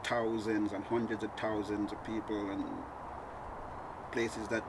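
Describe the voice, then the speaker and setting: A man from Antigua speaking in a laid-back, unhurried way with pauses, in a documentary interview. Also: on the low side, level tone, recorded from a distance, background noise.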